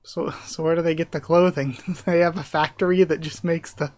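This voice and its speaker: Higher-voiced man thinking aloud. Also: steady tone, moderate pace, slight background noise. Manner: thinks aloud, speculative, chuckling asides. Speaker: YouTube reaction host. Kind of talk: live reaction, reading comic dialogue aloud with casual commentary.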